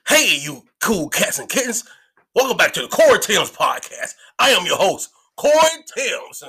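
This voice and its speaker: Man, podcast host, stutters, very animated pitch, bright tense voice, slightly husky. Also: moderate pace. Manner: joking, loud outbursts, playful voices. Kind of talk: casual comedic podcast banter.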